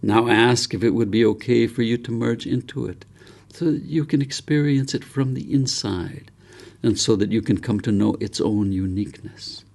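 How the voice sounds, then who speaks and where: Man reading as slow, creaky-voiced soothing guide. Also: medium pitch, a little mellow. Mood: calm, guiding. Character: calm male guide leading an imagery exercise. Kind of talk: storybook character in audiobook.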